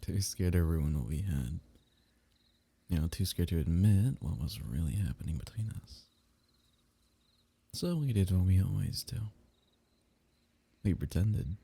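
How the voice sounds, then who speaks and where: Young man, ASMR roleplay, soft and teasing, dark mellow tone, husky edge. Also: sits low, lively intonation, measured pace, pauses often. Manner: soft, intimate, teasing, drifting into whispers.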